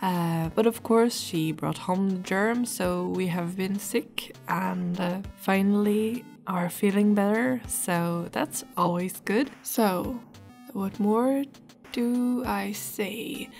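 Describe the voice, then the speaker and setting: Slow-talking, hesitant woman. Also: mid-range voice, vocal fry, a little mellow, faint music behind. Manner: hesitant, rambling. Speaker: illustrator, video host. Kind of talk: casual voice-over chat about drawing.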